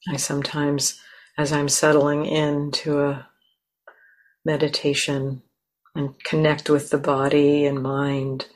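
A woman teacher leading a guided meditation in a gentle, unhurried way with long pauses between phrases. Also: deep voice, completely flat delivery.

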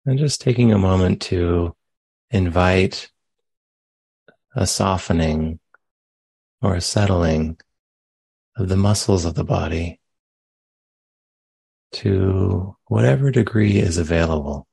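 A man leading a guided meditation as a teacher, speaking gently and unhurriedly with long pauses. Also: sits low, gravelly, husky, no room sound.

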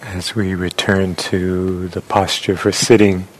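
A man leading a guided meditation as a teacher, speaking gently and with long pauses. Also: low voice, little pitch movement, slight background noise.

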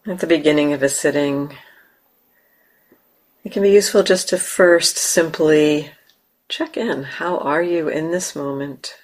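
A woman with an American accent, a meditation teacher leading a guided meditation slowly and softly, with long pauses. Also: low-pitched. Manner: slow, soft, calm, with long pauses. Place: meditation hall.

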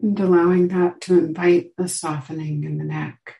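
A woman teaching a guided meditation, giving gentle instructions with long pauses between short phrases. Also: lowish voice, little pitch movement, gravelly.

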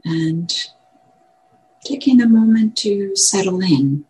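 A woman meditation teacher guiding a meditation in gentle, measured short phrases with long pauses. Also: medium pitch, lively intonation, rough recording. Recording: clear background noise, room ambience.

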